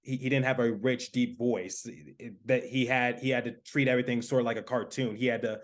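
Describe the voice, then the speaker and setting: Host: man from Michigan, sports podcaster and play-by-play caller, mid-pitched, halting delivery. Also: fairly even intonation. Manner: halting, many fillers. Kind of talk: casual interview chat.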